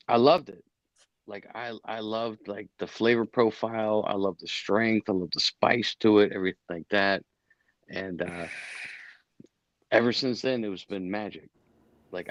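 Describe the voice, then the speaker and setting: A man in his thirties, a podcast guest, telling stories in a relaxed, rambling way. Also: on the low side, unhurried, no room sound. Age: 30s. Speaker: guest, cigar specialist. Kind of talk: casual storytelling chat. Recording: dull muffled audio.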